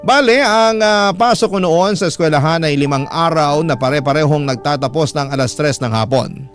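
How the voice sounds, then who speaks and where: A man's voice: fairly high pitch, normal speed. A man with a Filipino accent narrating a scripted first-person story in dramatic radio style. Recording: voice over loud music bed. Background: music.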